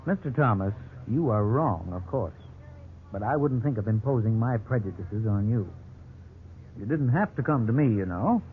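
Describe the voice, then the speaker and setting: A man's voice: lowish voice, lively intonation, natural voice. Man acting formal lawyer on old, tinny radio. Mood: stern, dry. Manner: measured, formal, faintly condescending. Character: courteous, stern, formal male lawyer, patient but dry. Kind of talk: 1950s radio drama acting. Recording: old noisy 1950s radio, narrow band.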